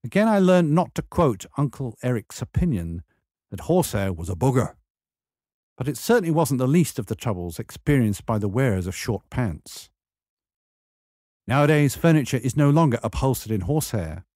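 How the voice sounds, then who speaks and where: Male memoir narrator, wry and measured, with animated intonation and a dry studio-like sound. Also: medium pitch, no room sound. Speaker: memoir reader.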